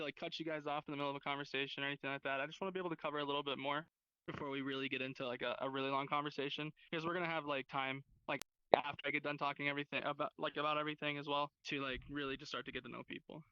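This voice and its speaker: Bright-voiced casual young-sounding male teacher. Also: fairly high pitch, slight background noise. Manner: casual, rambling, slangy. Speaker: sign language class teacher. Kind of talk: informal lesson. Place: online virtual class hub. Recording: dull lo-fi online voice chat.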